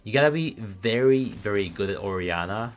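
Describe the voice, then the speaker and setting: A man with a low voice casts a live esports match from his own stream. He talks in a loose, chatty way and laughs a lot between plays. A faint hiss sits under the voice. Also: moderate pace. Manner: relaxed, laughs often, chatty. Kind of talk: casual live esports commentary.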